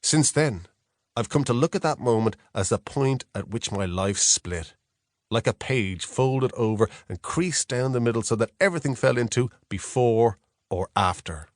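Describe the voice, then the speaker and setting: A man reads a first-person novel in a measured, reflective storytelling manner. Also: medium pitch, no echo, clean studio sound. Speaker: audiobook narrator. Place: close, dry studio.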